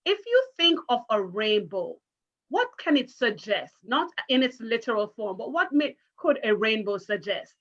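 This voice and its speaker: Casting: woman, primary teacher, somewhat high voice, interactive storytime delivery, muffled online-call sound. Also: very animated, unhurried, a bit bright. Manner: encouraging, careful teacherly address with questions. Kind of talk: interactive children's read-aloud. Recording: muffled video call audio.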